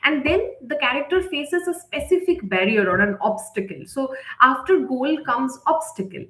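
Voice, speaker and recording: Woman, higher-pitched voice, conversational instructor, explanatory delivery, frequent tag questions, roomy echo. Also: animated, moderate pace, sharp tone. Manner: conversational, often tags sentences with "right?" or "okay?". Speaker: presenter teaching writers in a live session. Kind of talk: instructional webinar talk, explanatory. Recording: echoey, roomy sound.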